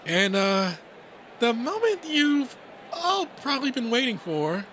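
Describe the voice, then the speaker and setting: A man acts out a small, shy rabbit announcer in a story. The voice is high and wavering in pitch, with nervous stammers and halting hesitations. There is faint noise behind it. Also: sing-song, fairly bright tone, full character voice. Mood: nervous, building up. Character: timid little male rabbit announcer, shaky and nervous.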